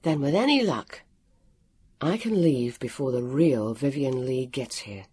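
A narrator puts on an anxious, excitable young girl in a storybook. She is breathless and halting, swooping in pitch. The sound is husky and close, with no room to it. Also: dry recording. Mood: secretly excited.

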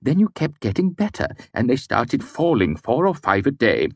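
A man's voice: on the low side, big pitch swings, normal speed, darkish tone, slightly rough, no echo, pitched above the performer's own voice, heavily acted. A man voicing a portly, nervous male manservant whose anxious words tumble out over each other. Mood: tearful pleading.

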